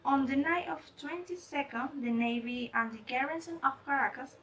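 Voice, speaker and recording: High, bright-voiced woman presenting from afar. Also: moderate pace. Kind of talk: scripted presentation read aloud. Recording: distant microphone.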